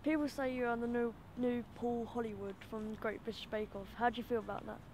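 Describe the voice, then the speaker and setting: A young woman reads out interview questions briefly, in a higher voice. She is caught from a distance by the mic, and traffic noise is clearly heard behind her. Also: flat delivery, fairly quick, darkish tone. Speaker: student interviewer. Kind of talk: reading prepared interview questions.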